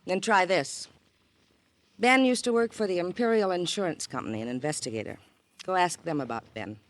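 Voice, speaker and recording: An actress playing a cool, flippant widow in a 1950 radio crime drama, sardonic and dismissive. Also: female voice, on the low side, fairly quick, lightly acted. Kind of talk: 1950 radio noir acting.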